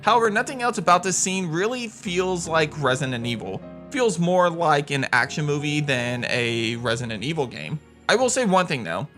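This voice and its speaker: A man with a bright, forceful voice pitched a bit high narrates a scripted game countdown. He is emphatic and enthusiastic, with faint music underneath. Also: lively intonation, moderate pace, few pauses. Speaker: video game countdown host.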